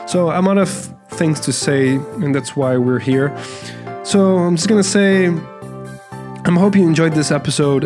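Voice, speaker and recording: A man hosting a casual solo podcast, rambling in a self-deprecating manner. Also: fairly high pitch. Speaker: podcast host.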